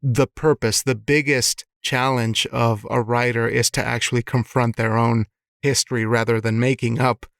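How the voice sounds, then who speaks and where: A man hosting an interview podcast, his voice gravelly with strong vocal fry. The sound is close and dry, and he asks searching questions, often restarting words as he goes. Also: mid-range voice, level tone, moderate pace, fairly bright tone, no echo. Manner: thoughtful, restarts words, affirming. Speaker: podcast host.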